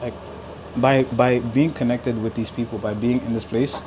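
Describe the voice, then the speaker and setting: A man's voice: medium pitch, normal speed. Casual man talking over humming noise. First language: Igbo. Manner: animated, talks with filler likes and repeats words. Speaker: podcast host. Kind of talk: casual podcast chat.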